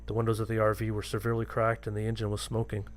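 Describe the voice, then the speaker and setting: A man's voice: lowish voice, completely flat delivery, rapid-fire, soft background music. A man reading a scripted first-person horror story as its narrator.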